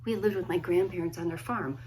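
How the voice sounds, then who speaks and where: A woman, a children's author, reading a story aloud expressively, with dramatic pauses and hushed emphasis. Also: fairly low pitch, slight background noise. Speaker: children's book author reading own work. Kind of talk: expressive storybook read-aloud, friendly introduction.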